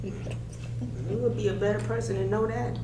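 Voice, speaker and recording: A woman plays a sloppy, flirty, middle-aged party mother in a drama table read. She talks slowly, loose and tipsy, in a folksy way. The room is echoey with clear background noise. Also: medium pitch, fairly even intonation, natural voice. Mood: tipsy, puzzled. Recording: echoey room with loud hum.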